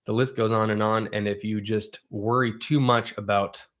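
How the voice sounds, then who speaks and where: A man's voice: on the low side, level tone, moderate pace, fairly bright tone. A man whose first language is Russian, from Oregon, hosting a livestream and answering viewer questions in a loose, rambling way. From Southern Oregon, USA. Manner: rambling, conversational, with frequent restarts. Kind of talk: casual chat.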